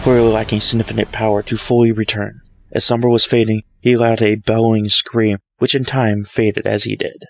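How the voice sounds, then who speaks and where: Creaky-voiced man narrating over music. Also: on the low side, level tone, a little fast, hardly any pauses, slight background noise, low-quality recording. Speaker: fan fiction reader. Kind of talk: dramatic story narration. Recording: music behind, amateur microphone.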